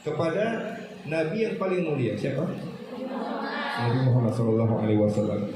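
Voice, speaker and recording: In a very reverberant room with noticeable background noise, an Indonesian-accented man lectures as a religious teacher. His voice sits at a medium pitch. He explains didactically, restating phrases and putting questions to his students. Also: a little fast. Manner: didactic, repeats phrases, poses questions to listeners. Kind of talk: Islamic history lecture to students.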